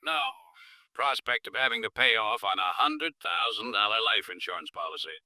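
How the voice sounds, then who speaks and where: An actor playing a worried, excitable insurance man in a 1950s American radio drama. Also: fairly low pitch, normal speed, no echo. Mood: agitated. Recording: vintage 1950s radio recording.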